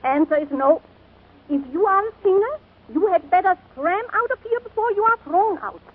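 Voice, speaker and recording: A blunt foreign cook in a 1940s radio comedy, grumpy yet kind underneath. She speaks clipped broken English in a high, swooping voice on a tinny old recording. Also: expressive, moderate pace.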